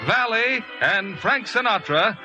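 A man's voice: high-pitched, lively intonation, slow pace, muffled recording. A man announcing a 1940s radio show in a scripted, showy introduction. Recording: old radio broadcast, loud music behind.

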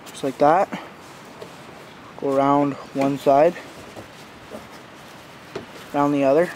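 Guy with kinda high voice filming a casual review on his phone in the backyard, stop-and-start talk, noisy with cars. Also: steady tone, darkish tone. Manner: halting, informal. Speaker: amateur video reviewer. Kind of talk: casual unscripted product walkthrough. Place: outdoors in a backyard. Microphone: handheld phone camera. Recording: outdoor phone recording with clear background noise. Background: passing cars.

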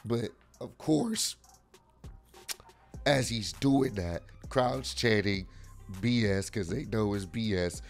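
A man in his thirties with a hoarse, worn-out voice, casually reviewing a wrestling event from a desk microphone at home. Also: on the low side, takes it slowly, pauses often, faint music behind. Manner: casual, rambling with frequent fillers. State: hoarse, lost voice. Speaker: wrestling vlogger. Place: home room with lit shoe shelves. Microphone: desk mic on arm.

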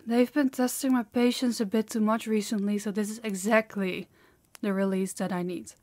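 A woman's voice: medium pitch, fairly even intonation, normal speed, darkish tone, no echo, natural voice. A woman voicing a harsh, dominant female demon in an intimate audio roleplay, with a haughty, commanding, taunting manner. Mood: gloating admiration. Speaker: voice actor.

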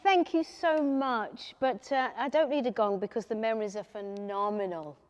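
A woman's voice: a little high, normal speed. Chatty woman in her seventies. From England. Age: 70s. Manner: warm, chatty, laughs often. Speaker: car owner, interviewee. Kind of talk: casual interview. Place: large car showroom, indoors. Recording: echoey showroom hall.

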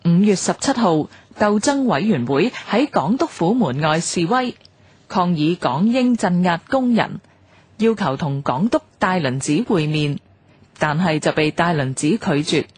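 Cantonese woman narrating a radio documentary, lowish slightly husky voice, formal, dry studio sound. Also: lively intonation, a little slow, darkish tone, dry recording. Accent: Hong Kong Cantonese.

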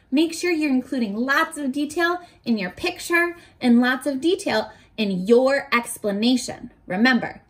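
A woman in her twenties reading aloud and teaching young children in an animated, encouraging way, pausing to ask questions, recorded at arm's length indoors. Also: high voice. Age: 20s. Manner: animated, encouraging, asks questions and pauses for answers. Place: small furnished bedroom, indoors.

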